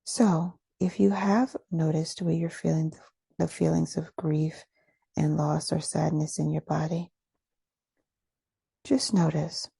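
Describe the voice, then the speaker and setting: Woman doing guided meditation, soft mellow voice w some creak, slow n soothing, really close dry sound. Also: sits low, flat delivery, no room sound. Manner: soothing, unhurried, pausing between short phrases. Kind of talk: guided meditation, gentle scripted instruction.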